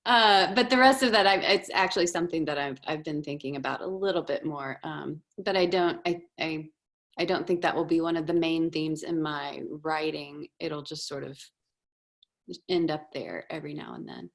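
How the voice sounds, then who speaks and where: Southern woman host, lower register, hesitant and searching, soft warm-toned delivery. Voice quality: soft, honeyed voice. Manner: halting, many fillers and restarts. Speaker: webinar host. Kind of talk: casual conversation.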